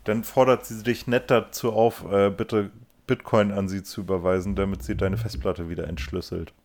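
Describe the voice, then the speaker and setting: A man's voice: on the low side, little pitch movement, normal speed, a little mellow. A man in casual podcast chat who makes dry, joking remarks. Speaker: podcast co-host.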